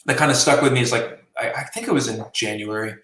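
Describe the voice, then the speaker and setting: A man's voice: medium pitch, quick pace, pushed and bright, recorded from a distance. A man in his late twenties, a musician and journalist being interviewed, talking casually and rambling, with many fillers. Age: 20s. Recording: roomy and from the mic.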